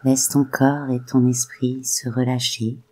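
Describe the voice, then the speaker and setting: Slow, breathy guided meditation voice. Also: medium pitch, flat delivery. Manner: soft, unhurried, gently spaced phrases.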